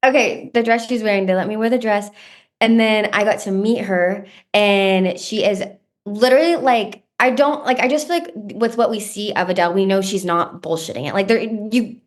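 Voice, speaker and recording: A woman's voice: mid-range voice, normal speed. A thirty-year-old woman from Texas, a podcast guest, telling stories in a rambling, self-deprecating way full of fillers, heard over a video call. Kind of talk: casual podcast chat. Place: room at home.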